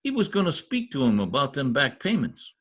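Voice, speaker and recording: A man's voice: medium pitch, huge pitch swings, fairly quick. A man playing a blustering, stubborn small-town husband in folksy, slangy old-time radio comedy style. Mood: pleading, indignant.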